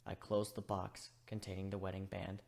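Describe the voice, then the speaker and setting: A man's voice: low voice, monotone, normal speed, gravelly, darkish tone. Subdued man narrating a story. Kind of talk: dramatic reading of fan fiction, first-person narration.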